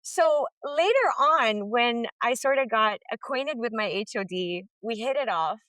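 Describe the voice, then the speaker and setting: Animated young woman from Lebanon, dry mic. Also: a little slow, no echo. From northern Lebanon. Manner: expressive, acts out dialogue with sudden pitch jumps. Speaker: middle school teacher, podcast guest. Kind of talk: animated storytelling in conversation.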